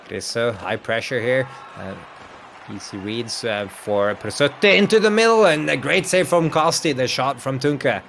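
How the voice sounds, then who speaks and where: A man's voice: medium pitch, animated, moderate pace, vocal fry, a bit bright, slight background noise. Man commentating an esports hockey game. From Sweden. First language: Swedish. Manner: chatty, laughs often, reads out chat. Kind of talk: play-by-play commentary.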